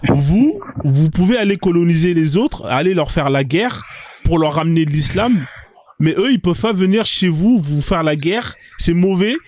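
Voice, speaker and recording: A man's voice: on the high side, expressive, moderate pace, darkish tone, no echo. A man hosting a live stream debate, pressing his caller with repeated questions and cutting in. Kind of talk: heated debate.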